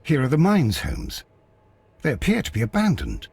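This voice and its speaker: British detective's sidekick, earnest, husky, lively pitch swings, polite, mildly put-upon, game dialogue. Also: male voice, moderate pace, no echo, heavily acted. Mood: observing. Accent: British English. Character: loyal, earnest English gentleman, detective's companion. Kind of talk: video game character acting.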